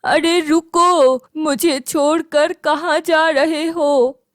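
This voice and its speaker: A woman voicing a bewildered wife who has been turned into an old woman, in a Hindi animated story. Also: high voice, drawn-out words, no room sound, studio quality, pitched above the performer's own voice. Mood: pleading.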